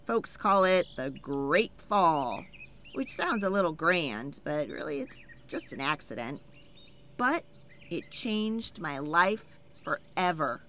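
A woman reading a children's picture book aloud, voicing the first-person narration with animated expression, soft laughs and sighs. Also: on the low side, a little hiss or hum. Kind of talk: expressive read-aloud storytelling.

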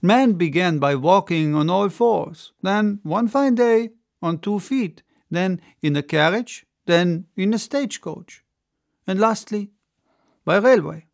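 A man's voice: high-pitched, expressive, takes it slowly, vocal fry, pitched above the performer's own voice, exaggerated voice. A man voicing a cheerful, confident French adventurer giving an emphatic public speech in a storybook. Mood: emphatic, persuasive. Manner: oratorical, emphatic. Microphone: close studio microphone on desk.

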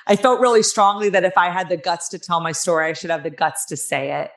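A woman in her forties with a raspy, nasal New Jersey accent, telling her own story candidly and emphatically as an interview guest on a video call. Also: lowish voice, steady tone, quick pace. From New Jersey, United States. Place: small room at home, on a video call. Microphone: headset on a video call.

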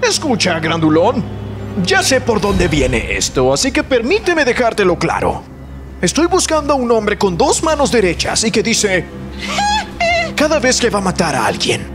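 A man's voice: high-pitched, wildly swooping pitch, moderate pace. A man voicing a brash, hot-headed young swordsman out for revenge in a parody anime dub, cocky and confrontational. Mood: defiant. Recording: loud music behind the voice.

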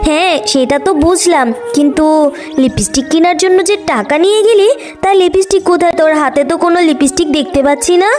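High-pitched nagging mother played by a woman. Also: brisk, darkish tone. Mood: scolding. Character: scolding but caring village mother. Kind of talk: children's cartoon story dialogue. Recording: music behind the voice.

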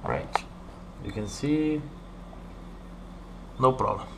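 A man from Brazil with a Brazilian Portuguese accent, walking through a hardware setup in halting English, stopping often to ask "okay?". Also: mid-range voice, noisy background. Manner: halting, with many "uh" and "okay?" checks. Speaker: hobbyist showing a hardware setup. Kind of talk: hands-on tutorial walkthrough. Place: indoor room at a desk.

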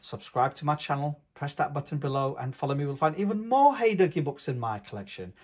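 A man reading a picture book aloud for young children, with expressive, singsong storytelling. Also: a little high, fairly quick. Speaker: host of a children's read-aloud channel.

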